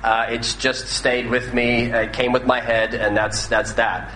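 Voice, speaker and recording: An American man in his thirties preaches in a relaxed, conversational way, often pausing on "uh" and restarting his sentences. His voice is bright and forward. It rings through a large, echoey hall with a faint noise floor. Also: medium pitch. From northwestern United States. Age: 30s. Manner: conversational, with frequent "uh" fillers and restarts. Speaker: pastor. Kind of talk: sermon.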